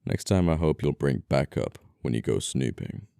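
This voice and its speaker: Casting: male actor for scoundrel murderer in ASMR roleplay, very deep and smooth, politely menacing, apologetic, flirtatious, quaint wording. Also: expressive, normal speed, no echo, studio quality, heavily acted. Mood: calm, condescending. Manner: soft-spoken politeness, condescending, old-fashioned phrasing. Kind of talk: intimate ASMR audio roleplay acting.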